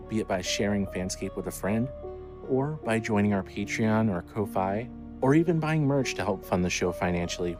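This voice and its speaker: Male story narrator over music. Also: lowish voice. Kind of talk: scripted audio drama narration.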